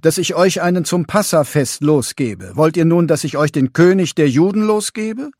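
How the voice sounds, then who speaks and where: A man's voice: fairly high pitch, expressive, normal speed, a little mellow, no echo, studio quality, pitched above the performer's own voice. A man reading a Bible passage and voicing Pilate as an uneasy, questioning Roman governor in a raised, pressing tone.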